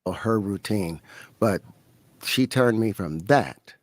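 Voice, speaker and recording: Relaxed, low-voiced man in his fifties. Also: slow, no room sound, clean studio sound. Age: 50s. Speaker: podcast guest. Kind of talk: casual podcast conversation.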